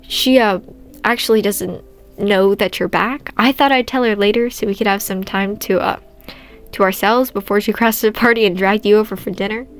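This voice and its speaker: A woman's voice: mid-range voice, steady tone, moderate pace, faint music behind, close to an everyday voice. An earnest teenage girl hero read by a woman from a story. She is affectionate and a little hesitant, stopping and restarting her phrases. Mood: sheepish. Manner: hesitant, filler-strewn dialogue.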